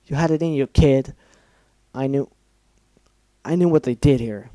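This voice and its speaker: A man's voice: medium pitch, animated, unhurried, warm tone, no room sound, natural voice. A man voicing a cruel, bitter female pegasus villain who screams accusations in a fan story reading. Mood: furious.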